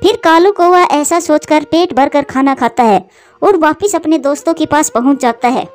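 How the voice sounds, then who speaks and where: A woman's voice: high-pitched, normal speed. A woman narrating a scripted children's story for a cartoon.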